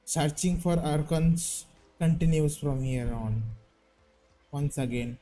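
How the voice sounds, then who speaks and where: Mellow Indian man commenting on gameplay. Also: fairly high pitch, slow, soft background music. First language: Hindi. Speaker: gaming streamer. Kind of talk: casual gameplay commentary, reading dialogue aloud.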